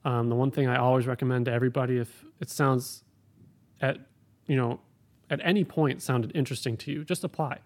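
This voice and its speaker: A man's voice: medium pitch, fairly even intonation, fairly quick. A man who works as an air traffic controller, answering interview questions in a plain, practical, explanatory way.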